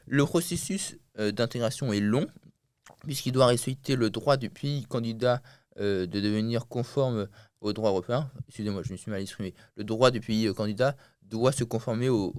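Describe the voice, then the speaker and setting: A man's voice: mid-range voice, a little mellow, no echo, studio quality. A man hosting a podcast interview, asking questions in a hesitant way with many fillers. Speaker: podcast host.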